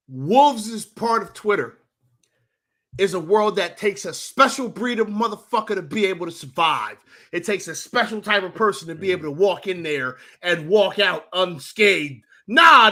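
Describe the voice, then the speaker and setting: A high-pitched man hosts a loose sports talk stream, cursing freely as he rambles through shout-outs. His voice is bright and forward, and he often restarts his sentences before landing the point. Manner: profane, rambling, with many restarts.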